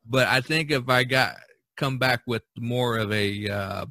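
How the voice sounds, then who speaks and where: A man's voice: medium pitch, unhurried, sharp tone, dry recording. A man hosting a casual podcast, giving advice in a rambling, folksy way full of "you know". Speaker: podcast host and painter. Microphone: video call recording.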